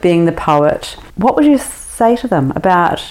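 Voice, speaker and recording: A woman's voice: fairly low pitch, very animated, a little slow, darkish tone, rough recording. A woman hosting an interview, asking plain questions and giving short encouraging replies. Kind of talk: casual interview.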